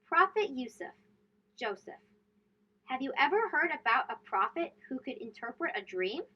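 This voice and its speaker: A woman's voice: high voice, big pitch swings, normal speed, slightly rough, highs cut off. A woman hosting a children's read-aloud, telling storybook tales emphatically and putting questions to young listeners.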